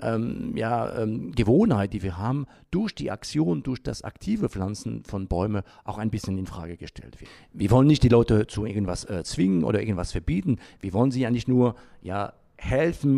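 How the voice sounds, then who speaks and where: A man's voice: mid-range voice, vocal fry, warm tone. A man giving unscripted interview answers in speech, often hesitating as he searches for words. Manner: halting, searching for words with frequent long hesitations. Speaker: interviewee, founder of an environmental association.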